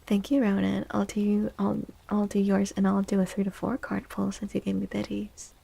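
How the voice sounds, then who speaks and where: A woman reading tarot as a livestream host in a soft-spoken, near-whispered ASMR style, gentle and reassuring, close to the microphone. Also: mid-range voice, monotone, normal speed, gravelly, slightly rough.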